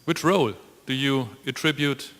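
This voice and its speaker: Bright, forceful man in a reverberant hall. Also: medium pitch, fairly even intonation, normal speed. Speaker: development agency staffer asking a question. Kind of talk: formal conference question.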